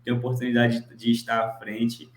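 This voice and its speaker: A man's voice: medium pitch, completely flat delivery, brisk. A man in his twenties from the interior of Bahia with a Bahian and Pernambucan accent, earnestly introducing himself as a social entrepreneur on a video call. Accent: Bahian and Pernambucan Portuguese. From interior of Bahia, Brazil. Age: 20s. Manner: earnest, with many "é" fillers. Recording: roomy sound with faint background noise.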